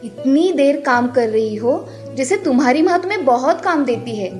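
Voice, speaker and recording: A woman's voice: sits high, lively intonation, normal speed, darkish tone, soft background music. A woman playing a cruel stepmother in a children's moral tale, harsh, nagging and accusing. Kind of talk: acted children's story dialogue.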